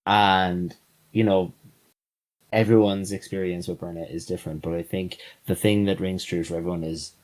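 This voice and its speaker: A man from Ireland as a podcast guest in casual chat, agreeable and quick to laugh. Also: low voice, level tone, a little mellow, rough recording.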